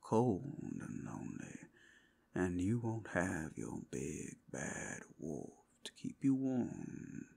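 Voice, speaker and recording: Flirtatious male werewolf, ASMR roleplay, male actor. Teasing, gravelly, swooping in pitch. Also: big pitch swings, slow pace, darkish tone, exaggerated voice. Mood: flirtatious, wistful.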